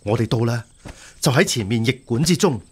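An actor with a Hong Kong Cantonese accent playing a shrewd, steady imperial censor who reasons persuasively in a radio drama. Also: male voice, mid-range voice, brisk, a little hiss or hum, lightly acted. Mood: reassuring, persuasive.

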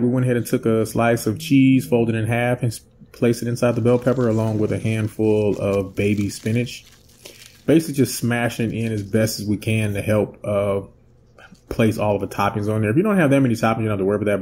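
Home cook host, thirties, lower pitch, easygoing filler-heavy delivery, seated at the camera. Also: male voice, a little hiss or hum. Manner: relaxed, rambling, filler-heavy explaining. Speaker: cooking channel host. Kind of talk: casual cooking voice-over and taste test. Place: indoor home set with plain backdrop.

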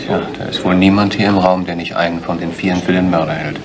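Detective sidekick, male actor: deep, sober, analytical; noisy vintage radio play. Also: little pitch movement, fast, close to an everyday voice. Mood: sober, deliberate. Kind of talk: 1970s German radio drama acting.

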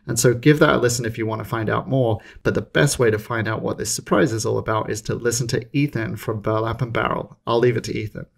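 A man in his thirties, the host, introducing a guest in a friendly, measured way. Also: medium pitch, steady tone, vocal fry. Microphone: studio mic with headphones.

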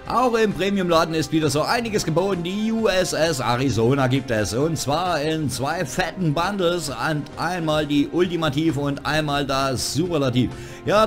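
A man hosting a gaming news show, reading a scripted voice-over with wry joking asides. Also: on the high side. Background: background music.

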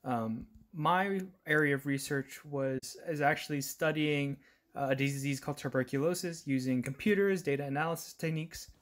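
A man born in Singapore, a graduate student, introducing himself in a relaxed way with some hesitations. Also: a little high, moderate pace. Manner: relaxed with fillers. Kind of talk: casual self-introduction.

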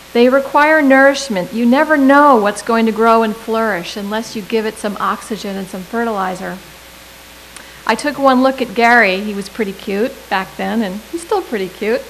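A middle-aged woman delivering a prepared commencement speech at a podium microphone, with measured delivery and deliberate emphasis. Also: fairly high pitch, fairly bright tone, a little hiss or hum, poor audio. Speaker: commencement speaker.

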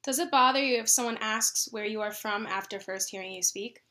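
Female interviewer, crisp bright tone on the higher side, reading set questions. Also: a little fast.

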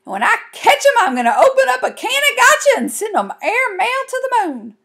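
A woman voicing a dramatic, quick-tempered little country girl in a children's storybook, with emphatic, indignant delivery. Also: mid-range voice, expressive. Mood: tearful, defiant. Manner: emphatic, exclamatory, homespun country phrasing. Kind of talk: folksy children's storybook reading.

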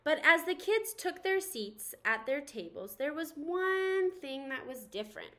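A young woman reads a children's story aloud with expressive narration. Her voice sits high and has a bright, forward edge. She takes her time over the sentences. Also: measured pace. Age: young adult. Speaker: teacher reading a storybook aloud.

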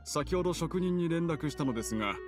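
男性が演じる礼儀正しい若い男性補佐役、背景に音楽. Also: male voice, a little high, lively intonation, a little fast, over music, natural voice. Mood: businesslike, polite. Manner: formal, deferential. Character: polite, loyal young male aide, humble and earnest. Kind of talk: Japanese anime game voice acting.

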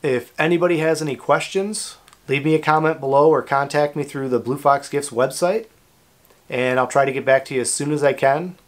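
A man in his thirties speaks to camera in a mid-pitched voice with a North American accent. He explains a product in an informal, plain-spoken way, as the host of an outdoors channel. He is recorded indoors in a small workshop. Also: fairly quick. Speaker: host of a kayak fishing channel.

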